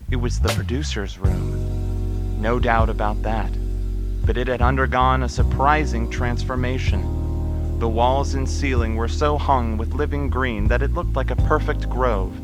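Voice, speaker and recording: A man plays the narrator of a radio drama. He reads slowly at a middling pitch, in a measured, descriptive storytelling manner, with music clearly behind him. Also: fairly bright tone, lightly acted. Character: storyteller narrating a Christmas tale. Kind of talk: scripted radio play narration.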